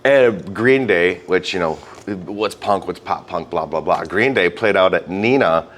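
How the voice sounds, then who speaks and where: A man from Wisconsin hosting a casual interview, chatty and halting, often repeating words as he starts over. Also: deep voice, animated, fairly bright tone, slight background noise. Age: adult, calls himself old metalhead. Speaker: podcast host.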